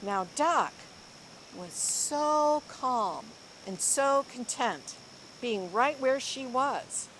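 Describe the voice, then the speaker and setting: A woman reading a picture book aloud to young children, with expressive, deliberate and dramatic phrasing. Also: high voice, slight background noise, poor audio. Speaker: school librarian reading story time.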